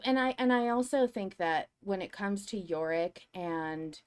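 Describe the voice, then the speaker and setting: A woman's voice: mid-range voice, expressive, unhurried, smooth. A woman co-hosting a podcast, talking casually and animatedly as a fan. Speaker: podcast co-host.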